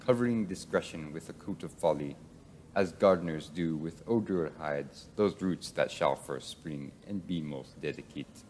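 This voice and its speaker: A man acts a sober lord constable advising in a Shakespearean radio play. His low voice recites in a measured way, with faint noise behind it. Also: darkish tone. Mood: grave, advising. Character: French lord constable, sober military counsellor. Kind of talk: Shakespearean radio theater acting.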